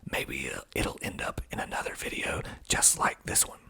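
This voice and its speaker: A man speaking in a breathy, husky ASMR whisper, reading aloud and adding deliberate, dry asides. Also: pushed and bright. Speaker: filmmaker hosting his own video.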